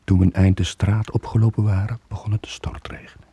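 An actor playing a weary, guilt-ridden middle-aged sailor with a Flemish accent, in a brooding radio drama style with a husky, breathy voice. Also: male voice, fairly low pitch, little pitch movement, mellow, no echo. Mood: brooding, regretful. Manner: brooding, halting confession. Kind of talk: 1980s Dutch-language radio drama acting.

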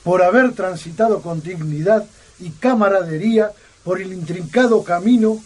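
An actor playing a pompous, ceremonious historian in a radio drama, with formal, grandiloquent phrasing. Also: male voice, high-pitched, moderate pace, a little hiss or hum, close to an everyday voice. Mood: solemn, proud. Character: pompous, ceremonious historian presiding over a band of survivors.

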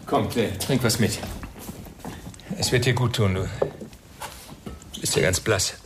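A man's voice: on the low side, slow pace. An actor playing a courteous, uneasy bereaved father in a radio crime drama, polite and a little hesitant. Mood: hospitable. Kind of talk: 1990 German radio crime drama acting. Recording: radio play with background noise.

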